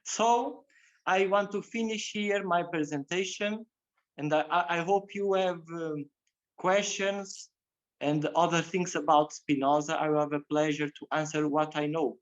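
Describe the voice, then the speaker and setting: A man giving a lecture as a guest speaker, in halting with repeated phrases. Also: on the high side, steady tone, dull audio. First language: Portuguese. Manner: halting, non-native English with repeated phrases. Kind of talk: religious community lecture.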